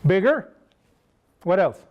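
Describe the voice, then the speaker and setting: A man's voice: sits high, big pitch swings, takes it slowly, highs cut off. A man from Costa Rica whose first language is Spanish, speaking with a Costa Rican Spanish accent as he gives a conversational public lecture and asks the audience questions. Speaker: wildlife sanctuary educator giving a public talk. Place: lecture hall.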